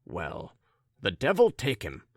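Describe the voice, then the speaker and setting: A man acts out an audiobook's sullen young man in a low voice. He speaks slowly and grudgingly, with curt, irritable mutters, in a dry, close recording. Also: huge pitch swings, dry recording, exaggerated voice. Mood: annoyed muttering. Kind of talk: audiobook character dialogue.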